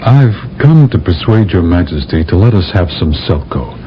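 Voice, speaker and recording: Earnest astronaut captain. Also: male voice, deep voice, moderate pace, a little hiss or hum. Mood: pleading. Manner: earnest, persuasive, lively delivery. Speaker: narrator and lead. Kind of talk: 1970s radio drama acting. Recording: dull old radio broadcast.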